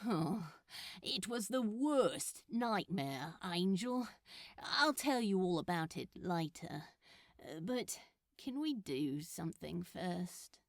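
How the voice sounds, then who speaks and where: A woman's voice: lowish voice, expressive, slow, no room sound, clean studio sound, voice pushed down. A woman voicing a mischievous, lovestruck male demon in a fan-fiction story, blurting emotionally and dramatically. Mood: relieved, affectionate. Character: frantic, remorseful male demon in love, mischievous.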